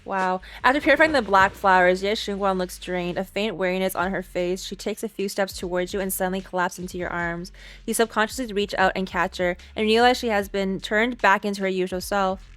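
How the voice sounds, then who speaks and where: A woman's voice: mid-range voice, brisk, fairly bright tone. A woman streaming a game reaction, casually commenting and reading the on-screen text aloud in a playful, exclamatory way.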